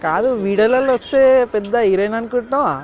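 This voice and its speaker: A man's voice: sits high, fast talker, background noise. A man making a teasing prank phone call. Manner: teasing, cheeky. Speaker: prank caller.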